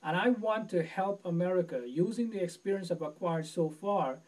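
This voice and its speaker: A man from Guyana answers interview questions in an earnest, measured way. His voice sits a little high for a man and stumbles over a word now and then. He sounds set back from the mic. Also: a little mellow, distant mic, rough recording. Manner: earnest, measured, repeats words at restarts. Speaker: presidential candidate.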